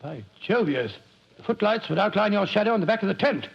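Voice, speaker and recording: A husky, high-voiced British gentleman, blustering and stammering with loyal concern. He is a fussy, easily bewildered older fellow from a vintage radio play. The old recording is muffled. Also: expressive, a little fast, exaggerated voice. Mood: flustered. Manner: blustering, stammering, muttering exclamations. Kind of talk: 1940s radio drama acting.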